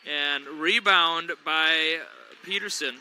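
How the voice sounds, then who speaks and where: A man's voice: fairly high pitch, slow pace, bright, forceful tone, a little hiss or hum, rough recording. A man doing chatty, joking play-by-play of a local school basketball game from the gym. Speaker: play-by-play announcer. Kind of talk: local sports commentary. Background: gym ambience, bouncing basketball.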